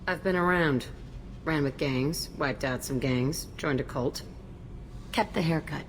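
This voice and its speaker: Casting: hardened young criminal woman for a game; low register, tough, cocky, cynical. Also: unhurried, a little hiss or hum. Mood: bitter, sardonic. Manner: curt, tough, sardonic. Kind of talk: video game character acting.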